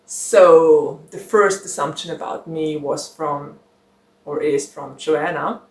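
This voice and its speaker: Young woman chatting slow and giggly, lots of ums, roomy echo. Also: low voice. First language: German. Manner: chatty, giggly, rambling with many fillers. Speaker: book channel host. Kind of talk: casual chat to camera.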